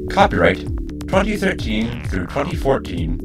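A man's voice: on the high side, big pitch swings, moderate pace, music in the background. A man reading scripted credits as an announcer. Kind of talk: scripted narration.

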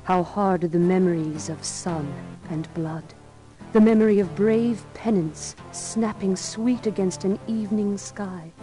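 A woman voicing a slow, brooding living sword. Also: mid-range voice, mellow, close to an everyday voice, low-quality recording. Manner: slow, solemn, poetic. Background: music.

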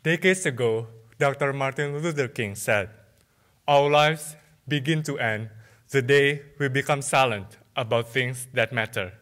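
A man's voice: medium pitch, a bit bright. Young man giving an emphatic speech. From Indonesia. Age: young adult. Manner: measured, emphatic oratory with pauses. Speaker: student orator. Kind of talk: competition speech. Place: large theatre, at a lectern. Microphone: lectern microphone.

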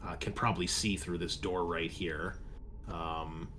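A man narrating casually as game master, improvising in a halting way. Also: deep voice, fairly even intonation, fairly bright tone, slight background noise.

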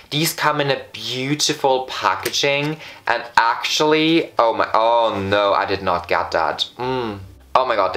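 A man's voice: fairly low pitch, a bit bright. A young man in his twenties from Austria with an Austrian German accent, reviewing lipsticks in a camp, gushing vlog style. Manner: camp, flamboyant, gushing exclamations. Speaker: beauty vlogger. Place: bright indoor room in front of a patterned backdrop.

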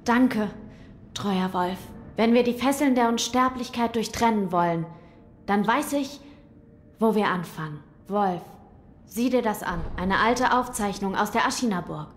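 A woman's voice: a little slow, close to an everyday voice. A woman in an audio drama playing an earnest, resolute young noble boy with a grave, formal way of speaking. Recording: long hall reverb, faint rumble.

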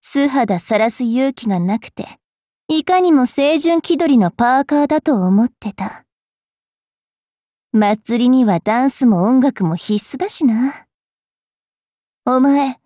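A woman playing a haughty, mischievous young vampire fairy priestess in an anime game, brash and teasing in a rough-spoken way. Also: a little high, expressive, slow, pauses often, mellow, no room sound, studio quality. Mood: mocking, teasing. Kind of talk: anime game character voice lines.

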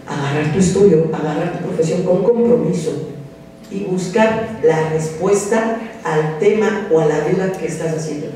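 A man's voice: high-pitched, dark tone. A man in his thirties with a Mexican accent, a psychology lecturer giving a direct, exhorting conference talk to students at a podium microphone. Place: large lecture hall. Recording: roomy echoing hall from a distance.

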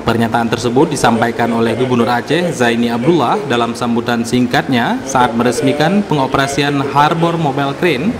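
Pria pembaca berita, suara datar formal. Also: male voice, mid-range voice, noisy background. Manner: even, formal reading. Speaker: news narrator. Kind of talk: scripted news voice-over.

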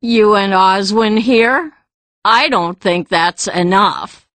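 A woman's voice: medium pitch, slow, fairly bright tone, dry recording. A woman playing a mean, greedy islander, scornful and smug, in a fantasy audiobook. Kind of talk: full-cast fantasy audiobook acting.

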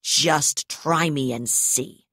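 A woman playing a ruthless female brigadier, snarling, sneering, loud, dry audiobook sound. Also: on the low side, animated, moderate pace, no room sound. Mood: snarling threats. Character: ruthless, foul-mouthed female gendarme brigadier.

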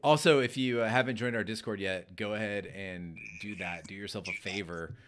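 A man's voice: lowish voice, normal speed, fairly bright tone. A man whose first language is Farsi, hosting a casual livestream chat while gaming, rambling and laughing at himself. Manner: rambling, self-mocking, laughs often. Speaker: podcast host streaming a game. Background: game music.

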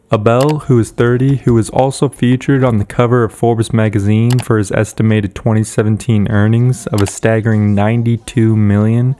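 Smooth-voiced man narrating a house tour. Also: fairly low pitch, dark tone. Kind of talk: scripted narration.

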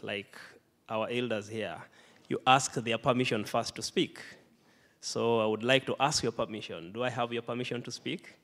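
A man's voice: medium pitch, a bit bright. A middle-aged man from Kenya with a Kenyan accent, addressing an audience at a panel talk through a handheld mic, courteous and playful. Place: theater stage before a seated audience. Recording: long reverb of a theater hall.

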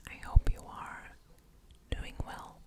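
Whispering woman, slow ASMR game commentary. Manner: soft whispering, unhurried, with mouth sounds. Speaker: host of an ASMR gaming channel.

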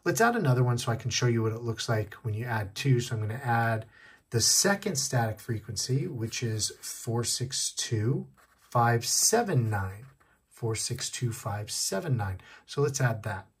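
A man with a slightly husky voice, giving a casual hands-on tech tutorial, explaining step by step and repeating key points. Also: medium pitch, lively intonation, measured pace. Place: indoors at a wooden desk.

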